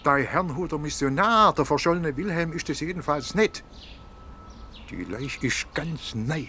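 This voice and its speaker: An actor in a radio drama playing a folksy, eager small-town police inspector. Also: male voice, a little high, lively intonation, measured pace, background noise. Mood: matter-of-fact.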